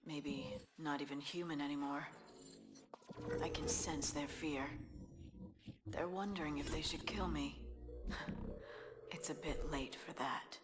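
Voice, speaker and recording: An actress playing a cold, haunted soldier who is no longer quite human, in a brooding, detached video game monologue. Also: female voice, low voice, fairly even intonation, measured pace, long pauses. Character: cold, haunted woman soldier turned more than human. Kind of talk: scripted cinematic game monologue. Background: loud dramatic music.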